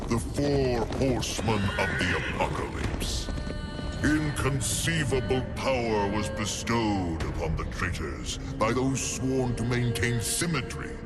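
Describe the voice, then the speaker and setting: An actor voicing an ancient, solemn otherworldly council lord in a video game, speaking in a gravelly, portentous and measured theatrical manner. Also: male voice, fairly low pitch, exaggerated voice. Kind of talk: dramatic scripted game narration.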